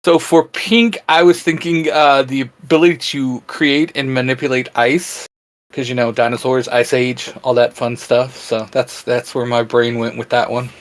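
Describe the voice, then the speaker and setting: Mid-pitched man in casual geek chat. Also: steady tone, normal speed. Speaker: channel co-host.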